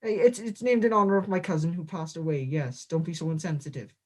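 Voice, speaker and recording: Deadpan boastful man in character. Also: fairly high pitch, fairly quick, a crowd in the background. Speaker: guest wrestler. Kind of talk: comedic in-character interview.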